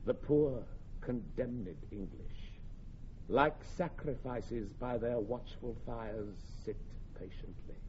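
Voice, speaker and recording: A man playing a solemn Chorus narrator, speaking Shakespearean verse in a measured, declamatory way with dramatic swells and hushes. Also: medium pitch, natural voice. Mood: hushed, brooding. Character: solemn Chorus narrator setting a night before battle. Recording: dull, faintly hissy recording.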